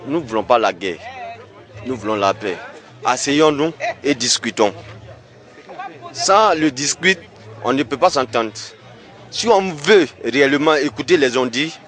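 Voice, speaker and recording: A man with an Ivorian accent, a local resident making a halting, repetitive appeal outdoors. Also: mid-range voice, animated, fairly bright tone.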